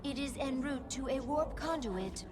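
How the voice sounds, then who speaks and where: Alien servant advisor, high voice, formal, measured, deferential, game briefing delivery, music behind. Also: female voice, animated. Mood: dutiful, informative. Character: dutiful female alien advisor serving her queen. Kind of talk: video game voice acting.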